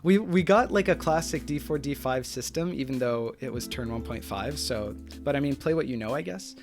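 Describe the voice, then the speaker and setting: A young man, a streamer, talks through a chess game at an average pitch, circling back with fillers and restarts. His throat is strained from talking for hours. Faint music plays behind him. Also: moderate pace. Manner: rambling, with many fillers and restarts. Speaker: streamer reviewing chess games. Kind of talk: casual game analysis.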